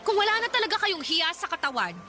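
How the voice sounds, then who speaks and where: An actress playing a stern, exasperated young adult daughter in a radio drama, blunt and scolding. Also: female voice, extremely high pitch, very animated, fast talker, bright, forceful tone, soft background music, exaggerated voice. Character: stern, embarrassed grown daughter scolding her mother.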